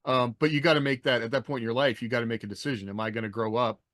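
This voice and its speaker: A man's voice: medium pitch, steady tone, racing, fairly bright tone, dry recording, studio quality. A man talking casually on a podcast panel as a military veteran who writes.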